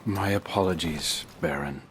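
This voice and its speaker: An actor playing a brooding, guarded hunter in a crime boss's service in a video game, terse and subdued. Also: male voice, sits low, lively intonation, normal speed, creaky, background noise. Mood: sullen, subdued. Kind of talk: video game cutscene acting.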